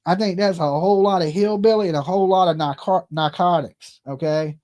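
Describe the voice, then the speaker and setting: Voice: mid-range voice, fairly quick, darkish tone. A live call-in stream host of ambiguous sex, chatting casually in crude, mocking, profane slang and often tagging sentences with "right?" or "okay". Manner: peppers talk with "right?" and "okay".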